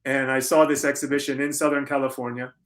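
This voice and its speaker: A man's voice: mid-range voice, little pitch movement, fairly bright tone. Middle-aged American male interview guest, mid-range voice, conversational and considered, often circling back to reword. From United States, Florida. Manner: halting, thoughtful, repeats and rephrases. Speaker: interview guest, conceptual artist.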